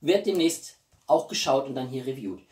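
A man talks casually to the camera like a home film reviewer. His delivery is colloquial, opinionated and emphatic, at a middling pitch. The microphone sits some way off, so the room's echo is clearly heard around his voice. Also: moderate pace, a little mellow, a little hiss or hum. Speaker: YouTube film reviewer and channel host. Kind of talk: casual chat to camera about a film collection. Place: small room at home, indoors. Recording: roomy, distant microphone.

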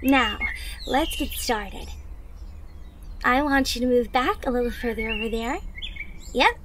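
A woman's voice: a little high, unhurried, background noise, natural voice. A woman playing an upbeat, encouraging teenage girl superhero trainee in an anime fan roleplay, coaching the listener directly in a bubbly manner. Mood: friendly, coaching. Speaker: audio roleplay performer.

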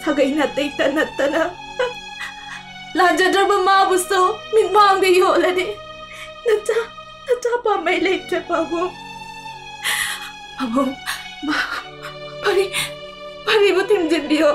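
Weeping young daughter. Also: female voice, very high-pitched, very fast, pauses often, over music. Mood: tearful grief. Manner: pleading, tearful. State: crying. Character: grieving young woman, devoted daughter. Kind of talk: audio drama acting.

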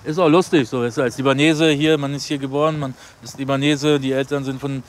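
A young man and rapper talking casually in an outdoor interview, relaxed and conversational. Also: mid-range voice, a little fast, slight background noise. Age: young adult. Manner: relaxed, conversational, says "weißt du" often. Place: outdoors in an urban garden.

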